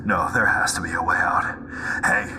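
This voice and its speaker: A man's voice: low voice, big pitch swings, normal speed, gravelly, noisy background, heavily acted. A man playing a hot-tempered, defiant sergeant in a sci-fi war drama, intense and emphatic. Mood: tense disbelief. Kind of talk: sci-fi audio drama acting. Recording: voice under loud music.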